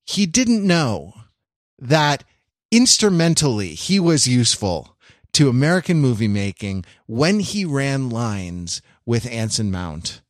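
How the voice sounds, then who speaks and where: A man's voice: medium pitch, big pitch swings, slow pace, no echo, clean studio sound. A man hosting a podcast in a casual, humorous chat, rambling wryly through mock-earnest digressions. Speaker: podcast host.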